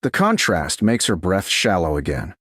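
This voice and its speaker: A man narrating a scripted romance audiobook in short, deliberate, dramatic phrases. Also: sits low, huge pitch swings, fairly bright tone, slightly rough, no room sound, clean studio sound.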